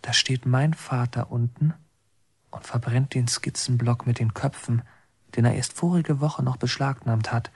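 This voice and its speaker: A man acting as first-person narrator of a radio drama, an anxious son telling memories in hushed, intent short phrases. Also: medium pitch, steady tone, measured pace, gravelly, breathy edge. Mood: tense, secretive. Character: son recounting tense childhood memories, anxious and secretive.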